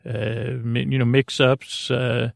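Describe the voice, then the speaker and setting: A man with a creaky voice hosting a bedtime podcast, meandering haltingly through tangents with lots of drawn-out uhs. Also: medium pitch, level tone, dry recording, studio quality. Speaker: podcast host. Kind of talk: rambling bedtime sleep podcast monologue.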